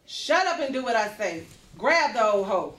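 A woman's voice: a little high, sing-song, moderate pace, fairly bright tone. Distant and roomy, a woman reads a tough backwoods mama with a shotgun, vengeful and commanding, in a horror comedy script. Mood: commanding, threatening. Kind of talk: amateur table read of horror comedy script. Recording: echoey room, off-mic.

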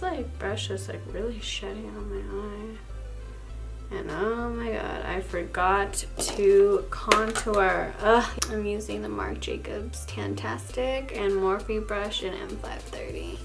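Raspy, creaky young woman chatting over music. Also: mid-range voice. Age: young adult. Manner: rambling, self-deprecating, talking while applying makeup. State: tired, short of sleep. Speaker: beauty vlogger. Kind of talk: casual makeup tutorial chat. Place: small indoor room, plain wall.

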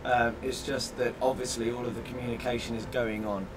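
A man's voice: mid-range voice, level tone, brisk. Young man, slightly distant, noisy outdoors. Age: 20s. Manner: many "you know"s, rambling. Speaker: blind football player being interviewed. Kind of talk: casual interview talk. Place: outdoors in front of bushes.

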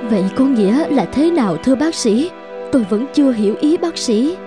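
A woman's voice: high voice, animated, a little fast, over music. An actress playing a devoted, emotional mother in a cartoon, tender, earnest and dramatic. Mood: anxious. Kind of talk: Vietnamese cartoon voice acting.

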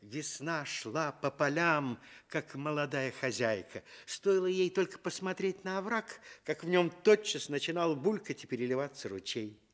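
A man narrating a children's tale for radio with deliberate, expressive phrasing. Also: a little high. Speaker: storyteller.

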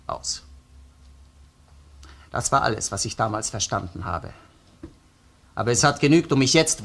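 Forceful, husky Austrian police detective. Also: male voice, mid-range voice. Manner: probing, insistent, sardonic, deliberate phrasing. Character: relentless male Austrian police detective interrogating a suspect. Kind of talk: German-language crime radio play acting.

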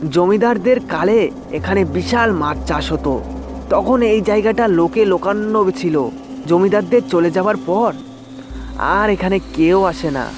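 A man with a high voice narrates a scripted ghost story in the first person. He speaks as a storyteller for an audio horror channel. Music plays clearly under his voice.

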